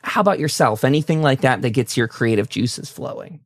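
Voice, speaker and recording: A man's voice: mid-range voice, animated, a little fast, dry recording, studio quality. A man hosting a casual interview show, speaking in an enthusiastic, gushing manner. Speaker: talk show host. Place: basement, at a table.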